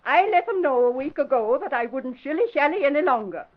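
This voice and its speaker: An actress playing a blunt, feisty older spinster with a folksy, tart manner in an old-time radio drama. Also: female voice, high voice, lively intonation, a little fast. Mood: indignant, tart. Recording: dull vintage radio recording.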